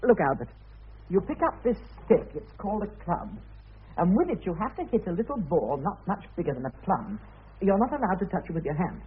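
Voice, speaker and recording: A man's voice: high voice, sing-song, a little fast, exaggerated voice. An actor playing a naive new millionaire in a radio comedy, earnest and hesitant, bumbling as he tries to please. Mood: earnest, self-important. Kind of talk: radio comedy drama acting. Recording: muffled, husky-sounding radio drama recording.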